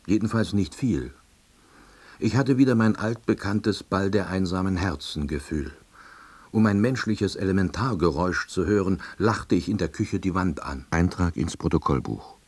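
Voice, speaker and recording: A melancholy, jobless husband in a radio drama. His voice is very low and slightly husky, and he narrates in short, deadpan diary sentences full of dry self-pity. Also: unhurried, full character voice. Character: lonely, melancholy, laid-off East German husband, drily self-pitying. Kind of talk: scripted first-person narration.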